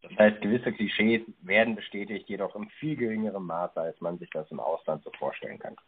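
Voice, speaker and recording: A man hosting a podcast, formally interviewing a guest and reading out prepared questions in a polite, measured manner. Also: fairly low pitch, husky, phone-quality sound.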